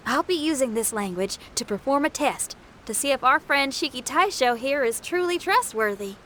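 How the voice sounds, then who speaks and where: A woman's voice: sits high, lively intonation, normal speed, sharp tone, a little hiss or hum. A woman voicing a confident, shrewd young musician in a video game, casual and assured. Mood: confident, intriguing. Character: cool, shrewd young female rock musician, confident, teasing. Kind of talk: video game cutscene acting.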